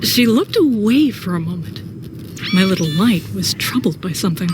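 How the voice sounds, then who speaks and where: A woman's voice: mid-range voice, expressive, normal speed, music in the background, lightly acted. A woman narrating a sci-fi audio drama in first person as a troubled, brooding soldier. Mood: anxious. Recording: clear background noise and ambience.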